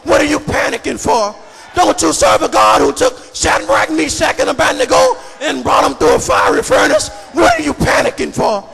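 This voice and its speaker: A man's voice: very high-pitched, sing-song, normal speed, vocal fry, shouting, over music, a crowd in the background. A man preaching a fervent sermon in an emphatic, repetitive cadence that leans on repeated phrases. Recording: echoey hall reverb with congregation noise.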